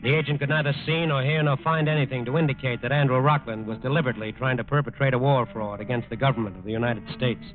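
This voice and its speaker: A man's voice: mid-range voice, steady tone, quick pace, hardly any pauses. A man narrating a 1940s radio crime drama in a solemn, emphatic scripted style. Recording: tinny old radio recording. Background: loud dramatic music.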